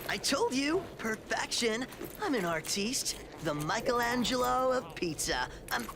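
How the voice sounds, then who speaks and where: An actor playing a goofy, laid-back teenage mutant turtle in a video game, with surfer slang and mock grandeur. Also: male voice, very high-pitched, big pitch swings, measured pace, vocal fry, background noise, exaggerated voice. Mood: smug delight. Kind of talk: video game cutscene voice acting. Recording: music behind the voice.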